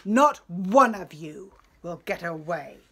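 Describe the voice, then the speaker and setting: A vengeful warrior king from an epic storybook, played by a woman. He speaks very slowly and deliberately, sneering with menace and scorn. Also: on the low side, animated, bright, forceful tone, pitched below the performer's own voice, exaggerated voice. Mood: cold fury.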